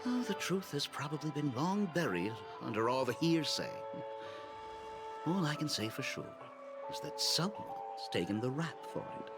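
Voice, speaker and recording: An actor playing an animated townsman in a video game, gossipy yet politely official. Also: on the high side, moderate pace, over music, natural voice. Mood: gossipy, intrigued.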